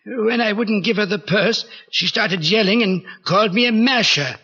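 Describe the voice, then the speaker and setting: A fussy, timid butler in an old radio drama. His voice is high and sharp, with formal, flustered phrasing and a slightly rough edge, on a muffled vintage recording. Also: normal speed, exaggerated voice. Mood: shaken, deferential. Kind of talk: 1940s radio acting.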